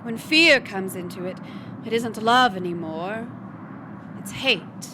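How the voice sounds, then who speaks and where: An actress playing an anxious, intense woman in love, earnest and halting, in an old-fashioned melodramatic stage style. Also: mid-range voice, natural voice. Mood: anxious, searching. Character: Cora, anxious, intense woman lover, wary and weary. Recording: noisy live online stage recording.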